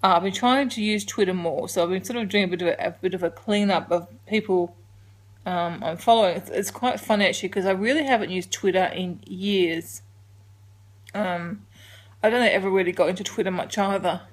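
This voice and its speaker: An Australian woman in her forties chatting through a daily home vlog, rambling with soft ums and sounding a little congested. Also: medium pitch, brisk. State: congested, getting a cold. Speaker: vlogger. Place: living room couch at home. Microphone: handheld camera held close.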